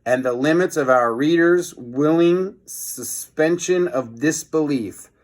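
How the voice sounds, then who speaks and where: A man's voice: fairly high pitch, takes it slowly. A man voicing a wry, matter-of-fact schoolboy sidekick in a children's storybook.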